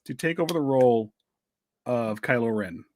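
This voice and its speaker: A man's voice: medium pitch, moderate pace, dry recording, clean studio sound. A man with an American accent co-hosting a true-crime podcast in a casual, jokey, informal way. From United States. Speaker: podcast co-host.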